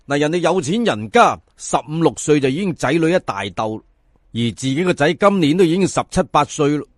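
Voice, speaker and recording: Animated Cantonese male storyteller. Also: on the high side, no room sound, studio quality. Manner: theatrical, addresses listeners, drawn-out emphasis. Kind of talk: colloquial folk-tale narration.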